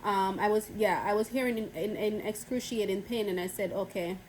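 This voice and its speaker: A woman's voice: medium pitch, brisk, a little mellow, a little hiss or hum, low-quality recording. Stuffy-nosed young woman telling a story. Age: young adult. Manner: chatty, rambling, many restarts and fillers. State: stuffy from a cold. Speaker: vlogger. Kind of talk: casual storytelling to camera.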